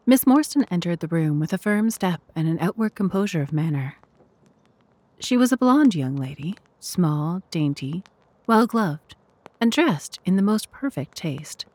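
A woman giving measured, formal narration of a scripted literary story. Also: lowish voice, animated, darkish tone, dry recording.

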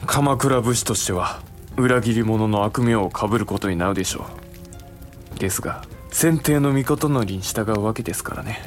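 A man's voice: on the low side, normal speed, soft background music, natural voice. Man acting an earnest, deferential younger samurai brother. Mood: questioning. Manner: formal, deferential, earnestly questioning. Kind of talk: Japanese period audio drama acting.

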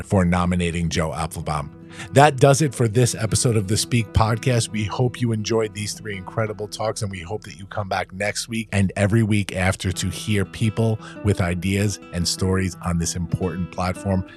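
A man with a very deep voice hosts a podcast and reads an upbeat, thankful outro. Music plays clearly underneath him. He delivers the credits in a steady, scripted way. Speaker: podcast host.

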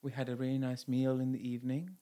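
A man's voice: mid-range voice, little pitch movement, fast, dark tone. A man in his thirties co-hosting a casual, bantering chat with his partner in a playful, campy, mock-dramatic way. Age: 30s. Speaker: co-host.

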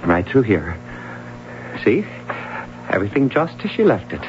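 Placating American dad, lowish voice, very lively pitch, halting, radio drama, dull recording. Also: male voice, over music. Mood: wistful. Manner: halting, apologetic, soothing. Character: anxious, placating husband and stage father. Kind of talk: 1970s radio drama acting. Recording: dull, noisy old radio broadcast.

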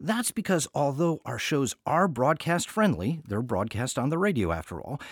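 A man's voice: medium pitch, very animated, moderate pace, breathy edge, no room sound, clean studio sound. A man hosting a podcast in a chatty scripted welcome, with wry, playful asides. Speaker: artistic director and podcast host.

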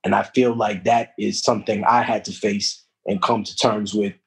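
Husky-voiced man from Peru, storytelling. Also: lowish voice, normal speed. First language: Spanish. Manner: reflective storytelling, street slang, animated asides. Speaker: guest. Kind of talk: casual podcast conversation.

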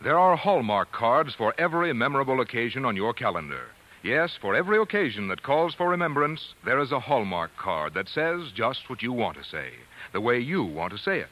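A man's voice: medium pitch, big pitch swings, a little fast, few pauses. An American man announcing in polished, scripted 1940s radio style, reading sponsor copy. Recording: old radio broadcast, loud music.

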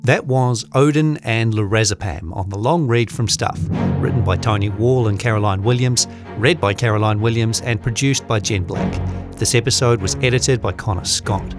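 Clear guitar music plays under a man. He announces a scripted podcast outro in a voice of middling depth. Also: fairly low pitch, moderate pace. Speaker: podcast announcer reading credits.

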